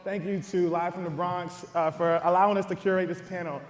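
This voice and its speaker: A man's voice: sits high, a little fast, echoey room, in a large hall. A man hosting a live panel and hyping up each guest as he introduces them. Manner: hype, energetic introductions. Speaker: podcast host and panel moderator.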